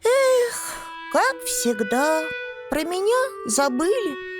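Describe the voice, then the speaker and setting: A tiny, eager teaspoon in a children's bedtime tale. The voice is extremely high and childlike, unhurried, with lively expressive swings. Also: female voice, over music, exaggerated voice. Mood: sad. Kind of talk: bedtime puppet-theatre fairy tale acting.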